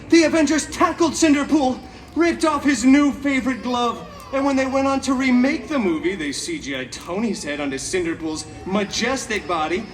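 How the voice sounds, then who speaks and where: A man playing a chaotic, cheeky, vain masked antihero who tells a story theatrically to a live audience with sassy, mock-sweet asides. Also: very high voice. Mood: dramatic, smug. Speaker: theme park stage show performer. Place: theme park stage, recorded from the audience. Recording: amplified live show, distant, crowd noise.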